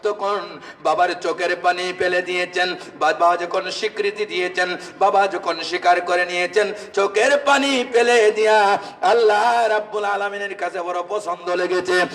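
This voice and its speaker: High-pitched man preaching in chant. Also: a bit bright, recorded from a distance. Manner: chanting, repetitive, exhorting with drawn-out calls. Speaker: Islamic preacher. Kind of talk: impassioned religious sermon with half-sung storytelling. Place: religious gathering, amplified from a distance.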